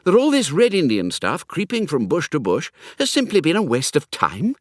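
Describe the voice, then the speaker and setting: Man playing a breezy upper-class English young gentleman, swooping pitch. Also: huge pitch swings, moderate pace, no room sound, clean studio sound. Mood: exasperated. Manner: effusive, slangy, blithe. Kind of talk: comic audiobook character acting.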